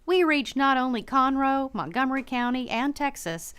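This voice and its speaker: A woman's voice: high-pitched, animated, normal speed, fairly bright tone, smooth, no echo. A woman reading a scripted radio commercial as an announcer in a studio.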